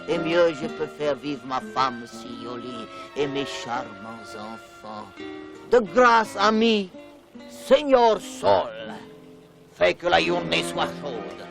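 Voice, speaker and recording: An actor playing a humble, kind-hearted water seller in a dubbed animated film, pleading and exclaiming. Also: male voice, high-pitched, vocal fry, full character voice. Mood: cheerful, imploring. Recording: music behind the voice.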